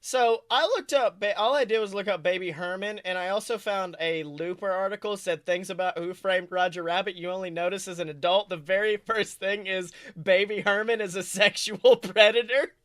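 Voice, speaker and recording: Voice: medium pitch, normal speed, hardly any pauses, fairly bright tone. A podcast co-host chatting casually and comedically about movies, rambling, jokey and loose with swearing.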